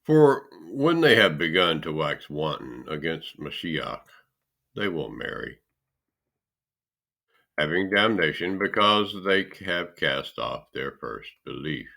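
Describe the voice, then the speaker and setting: Very deep, gravelly man reading scripture. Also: big pitch swings, sharp tone. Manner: emphatic, deliberate phrasing with pauses. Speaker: scripture reader. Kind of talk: solemn Bible reading aloud.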